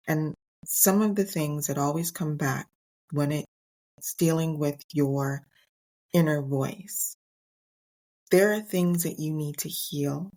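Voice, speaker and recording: A middle-aged woman hosting a motivational podcast on her own, speaking earnestly and emphatically in short, deliberate phrases. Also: sits low, darkish tone, rough recording. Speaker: podcast host. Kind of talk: motivational solo podcast talk.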